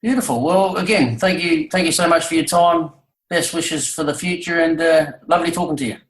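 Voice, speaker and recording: A man's voice: a little high, brisk. Echoey, hesitant man interviewing. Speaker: guest interviewer. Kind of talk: casual interview. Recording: roomy, echoing sound.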